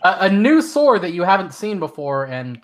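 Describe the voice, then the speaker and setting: A man's voice: high-pitched, very animated. Young man running a tabletop game. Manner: halting, many fillers and restarts. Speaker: game master. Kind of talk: casual tabletop game narration.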